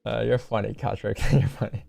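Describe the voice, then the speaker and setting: A young man with a low, gravelly voice hosts a live trading stream from a desk mic. He talks loosely to his chat, cracking jokes between chart calls. Faint music plays underneath. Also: fairly even intonation, normal speed, a little mellow. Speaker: livestream trading host. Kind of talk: casual live commentary to chat.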